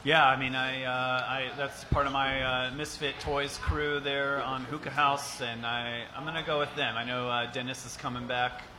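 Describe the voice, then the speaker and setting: A young adult man on a casual podcast panel, talking in a halting way and often starting over. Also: mid-range voice, level tone, noisy background.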